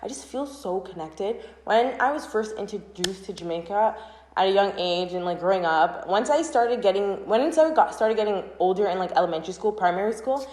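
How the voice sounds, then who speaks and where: A woman's voice: mid-range voice, expressive, fairly quick, a little mellow. Chatty young woman vlogger in an echoey room. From Canada. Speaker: vlogger and channel host. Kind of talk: casual chatty vlog to camera. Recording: roomy, echoing room sound.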